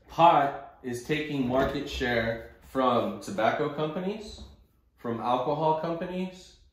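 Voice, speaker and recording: Blunt man in a very echoey room. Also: mid-range voice. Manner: blunt, opinionated, coarse casual language. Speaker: host of an investing conference call. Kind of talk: informal market commentary, speaking off the cuff. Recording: very roomy, echoing sound.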